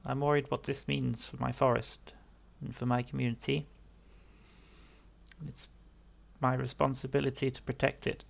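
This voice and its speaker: A man voicing a grave, formal fae guardian of a forest, speaking in a measured, earnest way. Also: medium pitch, little pitch movement, vocal fry. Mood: grave, worried.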